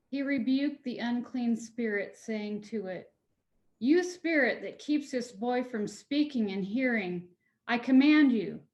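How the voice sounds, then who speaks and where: Higher-pitched woman reading scripture. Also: darkish tone, lo-fi sound. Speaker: scripture reader. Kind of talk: Bible reading. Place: at home, on a video call. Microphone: video call.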